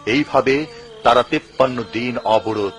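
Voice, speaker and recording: A man's voice: mid-range voice, a little slow. A man reading scripted historical story narration for a dubbed cartoon.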